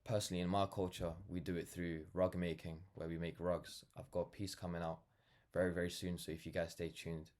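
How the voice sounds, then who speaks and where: A man's voice: deep voice, little pitch movement, brisk, hardly any pauses, a little mellow. A nineteen-year-old man with a London accent talking casually and reflectively to camera, earnest and rambling, often saying "like" and "you know". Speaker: vlogger, brand founder.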